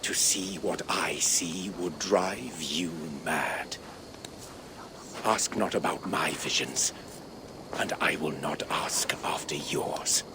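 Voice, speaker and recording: An actor playing a grim, mysterious seer in a video game, hushed and breathy, with ominous delivery. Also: male voice, extremely low pitch, noisy background, exaggerated voice. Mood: forbidding. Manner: slow, ominous. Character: grim, mysterious male seer haunted by visions. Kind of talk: dramatic video game acting.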